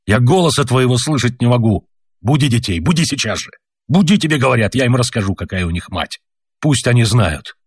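A man doing a bitter, gruff soldier father. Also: lowish voice, moderate pace, no echo, studio quality, natural voice. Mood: furious. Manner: bitter, reproachful, breaks into shouting.

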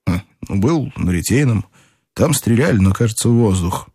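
A man voicing a calm, skeptical male friend who answers in a plain, low-key way. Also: moderate pace, breathy edge, no room sound, clean studio sound. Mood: doubtful.

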